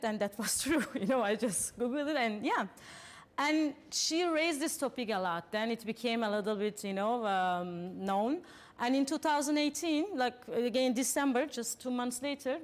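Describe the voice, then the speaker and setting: A fairly high-voiced woman from Turkey, speaking English as a second language. She hosts a panel and walks the audience through figures in an earnest, unpolished way, pausing often on fillers and restarting sentences. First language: Turkish. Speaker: panel host. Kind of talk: presenting statistics to an audience.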